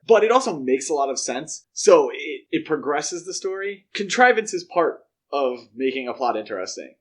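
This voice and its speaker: A man co-hosting a podcast, giving opinionated, analytical film criticism in a casual conversational way. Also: fairly high pitch. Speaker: podcast co-host.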